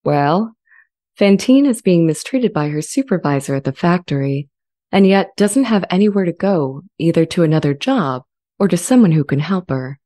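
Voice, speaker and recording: Low, mellow female AI played by an actress. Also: animated, lightly acted. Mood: thoughtful. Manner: measured, articulate, earnest. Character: earnest, friendly, self-aware artificial intelligence language model. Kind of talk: scripted transcript dramatization. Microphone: studio condenser mic with pop filter, close.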